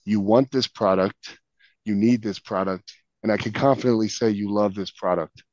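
Hoarse low-voiced man, dull dry line. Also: steady tone, normal speed, a little mellow. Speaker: sales trainee. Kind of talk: sales role-play. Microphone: video call.

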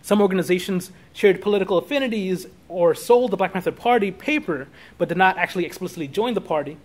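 A man in his thirties giving an academic lecture from notes, halting at times and correcting himself as he goes. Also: high-pitched. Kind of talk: academic talk read from notes with spoken asides. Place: small classroom or meeting room, indoors.

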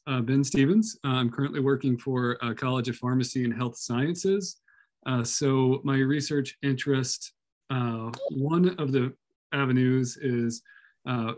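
Male grad student, hushed at work, hesitant, fillers, video call. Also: medium pitch. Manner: hesitant, many "uh" fillers, speaking softly. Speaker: doctoral student. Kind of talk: online class self-introduction.

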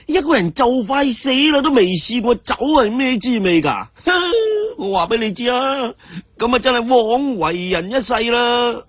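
A man's voice: very high-pitched, big pitch swings, unhurried, slightly rough, no room sound, lo-fi sound, voice pushed up, full character voice. A man voicing a cheeky, carefree young swordsman who drinks and teases brashly in a martial-arts story. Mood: brash, teasing.

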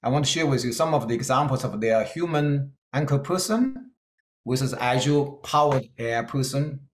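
A middle-aged man from China with a Chinese-Scottish accent, giving an earnest keynote talk with slides and pausing on fillers. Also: medium pitch, lively intonation, reverberant. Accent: Chinese-Scottish English. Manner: earnest, plain-spoken, with hesitant fillers. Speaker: keynote speaker.

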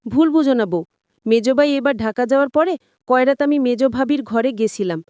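A woman acts out a sly, defensive husband in a narrated family story. The voice is high and dry, with persuading, self-pitying talk in colloquial rural Bengali. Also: normal speed, a little mellow, no room sound, lightly acted. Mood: coaxing.

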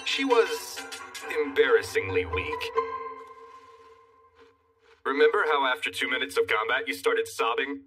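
Sarcastic young hero, lively mid-range voice, smug, wry, cartoon dub, music behind. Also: male voice, mid-range voice, a little slow, pauses often, distant mic. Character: cocky sarcastic young male fantasy hero. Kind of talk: animated dub narration and banter.

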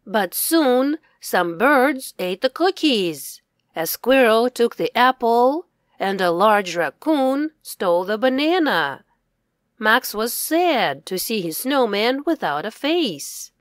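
Slow, animated woman reading a children's story. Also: fairly high pitch, no room sound, studio quality. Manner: careful, deliberate enunciation. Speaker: children's author reading own story aloud. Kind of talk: scripted children's storybook narration for English learners.

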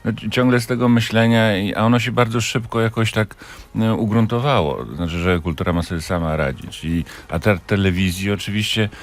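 A man reflecting as an interview guest, speaking haltingly with stammered repetitions of words. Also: lowish voice. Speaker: theatre director, interview guest.

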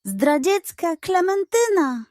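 A woman's voice: very high-pitched, sing-song, slow, darkish tone, no room sound, clean studio sound, faint music behind, pitched above the performer's own voice, full character voice. A woman voicing a scheming, hungry male pug in a children's storybook, with a pleading, theatrical, self-important manner. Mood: indignant.

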